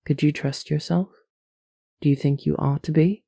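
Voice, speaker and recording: Low, creaky, patient elder alien woman played by a woman. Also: fairly even intonation, dry recording, clean studio sound, full character voice. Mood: urgent, grave. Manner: measured, earnest, gently admonishing. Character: older, devout alien woman, patient, principled, motherly. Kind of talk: scripted fantasy audio roleplay acting.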